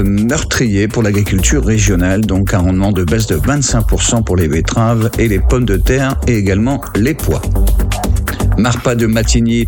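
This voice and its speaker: A man with a fairly low voice reads a regional radio news bulletin, slipping in the odd filler. Music plays clearly beneath him. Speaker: local newsreader.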